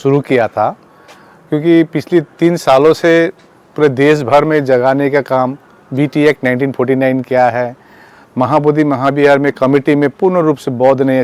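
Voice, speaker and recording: A man speaking as a protest organiser, giving a campaign statement in a halting, repetitive way and mixing in English words. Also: medium pitch, darkish tone, smooth. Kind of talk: spoken public statement on a campaign.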